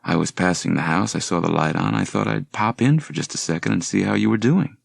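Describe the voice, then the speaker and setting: A man's voice: low-pitched, brisk, gravelly, slightly rough, no echo, studio quality, exaggerated voice. A man voicing a friendly, flirtatious landlady in an audiobook, coy and casual. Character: flirtatious, friendly landlady, a seductive redheaded woman.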